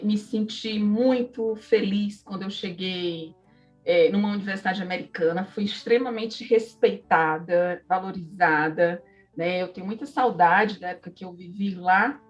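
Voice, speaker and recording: A woman's voice: mid-range voice, measured pace, recorded from a distance, dull audio, faint music behind. A woman with a Northeastern Brazilian accent telling personal stories in a conversational interview, reflective and often adding little tags for agreement. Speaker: interview guest, psychology professor.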